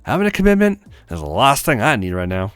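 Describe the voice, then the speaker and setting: Man voicing a breezy young handywoman, swooping pitch. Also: huge pitch swings, quick pace, fairly bright tone, clean tone, no echo, faint music behind. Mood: frank. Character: friendly, frank young female handywoman. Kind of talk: acted visual novel read-aloud.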